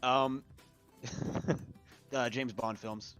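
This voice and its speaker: A man's voice: medium pitch, fairly even intonation, drawn-out words, a bit bright. A man guesting on a livestream, chatting casually in a giggly, hesitant way on a group call. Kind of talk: casual chat. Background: music.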